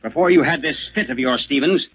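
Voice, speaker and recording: An actor playing a stern, unforgiving boss, curt and commanding, in a 1940s radio mystery. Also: male voice, a little high, fairly quick, crowd noise, lightly acted. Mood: stern, threatening. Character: stern, unforgiving male boss, old man Green. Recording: muffled, noisy 1940s radio recording.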